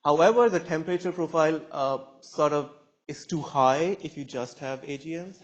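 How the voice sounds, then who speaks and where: A man's voice: a little high, moderate pace. A man in his twenties, a student researcher presenting a scientific talk at a conference in a lecture room. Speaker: PhD student speaker.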